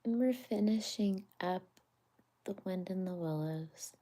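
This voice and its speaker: Low slow whispery lady reading bedtime stories, mellow and breathy. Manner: soft whisper. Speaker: storyteller.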